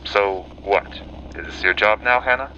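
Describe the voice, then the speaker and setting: An actor playing an earnest, bewildered wartime radio correspondent in a radio drama. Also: male voice, lowish voice, moderate pace, pushed and bright. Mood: confused, upset. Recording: dull, noisy audio.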